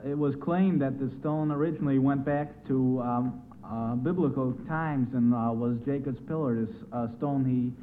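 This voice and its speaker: Halting quiz contestant, noisy old radio. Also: male voice, mid-range voice. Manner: hesitant, halting. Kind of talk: answering questions on old-time radio quiz. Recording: noisy, dull vintage radio recording.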